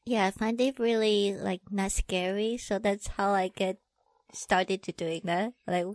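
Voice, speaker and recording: Taiwanese-accented woman, casual and halting. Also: mid-range voice, steady tone, no echo. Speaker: interview guest, tattoo artist. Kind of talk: casual chat.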